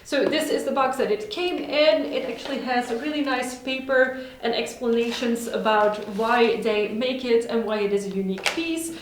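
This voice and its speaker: A woman's voice: a little high, normal speed, hardly any pauses, a little hiss or hum. A woman who speaks Dutch as her first language, chatting upbeat and enthusiastic in a casual vlog at home. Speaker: vlogger. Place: home room. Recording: very echoey room.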